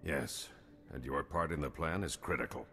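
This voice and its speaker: An actor playing a stern, driven military colonel in a video game, speaking in a clipped, formal, commanding way. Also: male voice, very deep voice, normal speed, bright, forceful tone, heavily acted. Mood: brisk, stern. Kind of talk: video game cutscene acting. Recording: long hall reverb.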